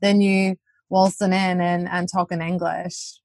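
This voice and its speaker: A woman's voice: fairly low pitch, little pitch movement, unhurried, a little mellow, no room sound. A woman from Northern Ireland with a Northern Irish accent, hosting a podcast interview in a friendly, appreciative way. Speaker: podcast host. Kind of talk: interview questions and sign-off.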